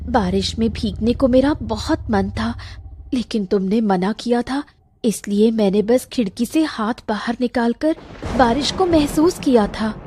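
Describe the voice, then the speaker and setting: A woman playing a timid, frightened young wife who speaks hesitantly in an animated horror story, with faint background noise. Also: on the high side, a little slow, lightly acted. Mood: hesitant, fearful. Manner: hesitant, fearful.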